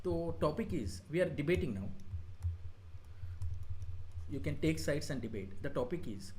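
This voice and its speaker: A man with an Indian accent teaching an exam coaching class, reviewing answers in an easy, halting way with many fillers and short praise. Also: on the high side, slight background noise. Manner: relaxed, hesitant, many fillers, mixing in Hindi; brief praise of students' work.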